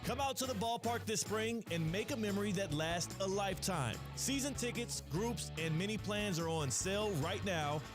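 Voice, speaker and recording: A man's voice: sits high, animated, moderate pace. A man reading a scripted promotional radio advertisement. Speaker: radio ad announcer. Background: music.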